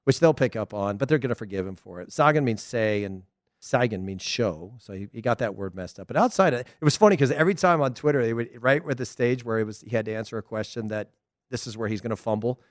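A middle-aged man with a Southern American accent, hosting a casual soccer talk show, chatty and animated with frequent laughs. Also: mid-range voice, quick pace, no room sound, clean studio sound. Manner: chatty, animated, laughs often, loose restarts. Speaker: soccer podcast host. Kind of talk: casual solo commentary.

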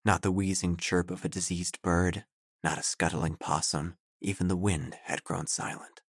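An actor playing a melodramatic, self-important obituary writer who narrates an audio drama with theatrical pauses. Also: male voice, low voice, flat delivery, slightly rough, dry recording, clean studio sound. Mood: ominous, awed. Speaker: narrator and protagonist. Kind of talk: first-person audio drama narration, gothic parody.

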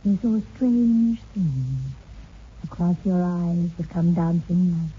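A woman playing a mysterious, commanding woman in a 1960s radio horror drama, measured and insistent. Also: fairly low pitch. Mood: calm, knowing. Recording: tinny old radio recording, faint noise.

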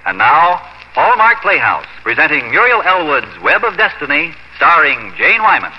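An American male announcer delivers polished, declamatory radio copy with lively swings in a fairly high voice. The sound is that of a dull 1950s broadcast. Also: normal speed, background noise. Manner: emphatic, declamatory. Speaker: radio announcer and sponsor spokesman. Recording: dull vintage radio recording with music.

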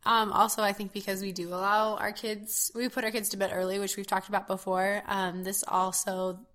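A woman in her thirties co-hosting a podcast in an easygoing chat, her voice hoarse and scratchy, laughing often. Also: mid-range voice, level tone, fairly quick, fairly bright tone. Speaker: podcast co-host. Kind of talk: casual chat.

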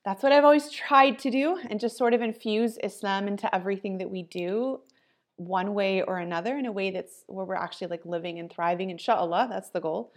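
A woman in her forties, raised in Ohio, speaks as a podcast guest. Her voice sits at a middle pitch, and she tells her story in a loose, conversational way, full of ums and likes. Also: a little fast. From Ohio, US Midwest. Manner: warm, chatty storytelling with frequent ums and likes. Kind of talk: casual interview chat.

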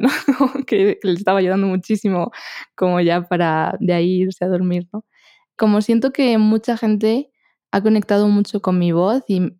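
A young woman with a Spanish accent talks through an interview in a mellow, warm tone. She is soft-spoken, laughs easily and keeps tagging her thoughts with little questions. The sound is close and dry. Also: lowish voice, clean tone, dry recording. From Spain. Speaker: podcast guest, meditation podcaster. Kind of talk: casual interview chat.

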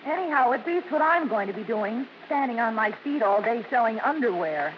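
Through a dull old radio recording comes a woman acting a young American girl with a high, very lively voice. She is a grumbling but game pal in a 1950s radio play. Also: a little fast, natural voice. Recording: muffled, noisy old radio broadcast.

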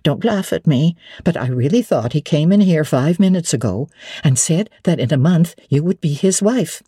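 A woman's voice: low-pitched, expressive, moderate pace, dark tone, slightly rough, no room sound, studio quality. A woman voicing an elderly widowed housekeeper who is bewildered and cautious, speaking in a hesitant, fretful way in a classic novel reading. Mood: astonished, bewildered. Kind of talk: dramatic audiobook reading of classic literature.

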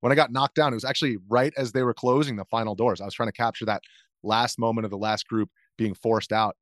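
A man's voice: medium pitch, fairly even intonation, fast talker, dry recording, studio quality. An American man being interviewed, telling anecdotes informally, interrupting himself and acting out what people said. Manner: informal, self-interrupting storytelling with acted-out quotes. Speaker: interview guest, video journalist. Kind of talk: casual anecdotal interview talk.

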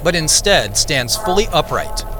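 Dream in first person, calm, descriptive, lively pitch, music underneath. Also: male voice, unhurried. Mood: dreamy. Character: man narrating a vivid dream in first person. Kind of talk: scripted narration. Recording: clear music behind the voice.

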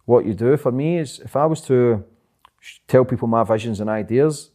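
A young man talking fast and rambling as an interview guest, swearing often and repeating "do you know what I mean". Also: medium pitch, dark tone. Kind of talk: casual interview chat.

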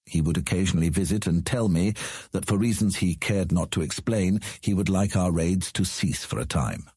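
A man acting as a cunning, humorless old lord in an audiobook drama, measured and condescending. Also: sits low, a little mellow, breathy edge, no echo, clean studio sound. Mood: condescending, amused.

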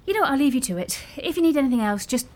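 A woman's voice: high voice, lively intonation, rapid-fire, a little mellow. An actress playing a reserved young woman with a British accent, dry, understated and politely awkward, in naturalistic audio drama. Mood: brisk, hospitable.

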